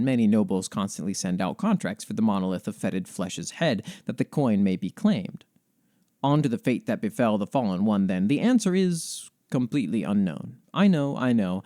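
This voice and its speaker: A man hosting a podcast, reading fantasy lore in an expressive, measured storytelling way with casual commentary. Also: mid-range voice, no room sound, clean studio sound. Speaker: podcast host.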